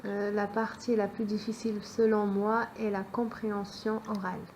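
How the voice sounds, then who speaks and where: A woman's voice: mid-range voice, level tone, moderate pace, a little mellow, slight background noise. A woman in her twenties from France, giving short plain interview answers with occasional fillers. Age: 20s.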